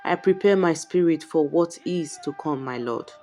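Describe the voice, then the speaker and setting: A woman storyteller playing a composed, submissive young queen in a folktale, speaking calmly and carefully. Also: sits low, moderate pace, faint music behind, close to an everyday voice. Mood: calm, guarded. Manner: calm, deferential, careful.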